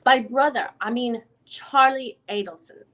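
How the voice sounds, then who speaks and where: A woman's voice: fairly high pitch, measured pace, a bit bright. A woman reading the part of a lawyer questioning a witness at a deposition in a formal, methodical way. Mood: formal, probing. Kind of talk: dramatic reading of a legal transcript.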